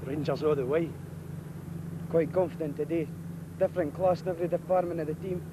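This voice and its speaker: A man's voice: fairly high pitch, flat delivery, fairly quick. A Northern Irish man, a football fan, giving blunt, confident short answers outside a stadium. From Northern Ireland. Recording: noisy outdoor street recording.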